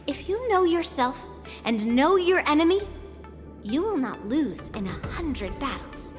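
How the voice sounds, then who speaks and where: A woman's voice: high voice, sing-song, measured pace. A woman playing a small, self-assured girl swordswoman in an anime-style video game, with an instructive manner. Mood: confident, instructive. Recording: long reverb, music behind. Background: music.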